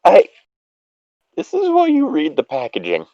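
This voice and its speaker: A man's voice: high-pitched, wildly swooping pitch, unhurried, dark tone, no room sound, highs cut off. A man reading a comic story casually, breaking off into jokey asides and banter. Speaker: co-reader of an internet story.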